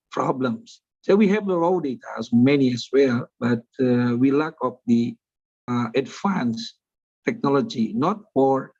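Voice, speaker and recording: Hoarse Indonesian-accented man, unhurried and halting, muffled call audio. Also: mid-range voice, darkish tone. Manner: slow, halting, many pauses and fillers. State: hoarse from a flu. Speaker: audience member asking a question. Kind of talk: online seminar question.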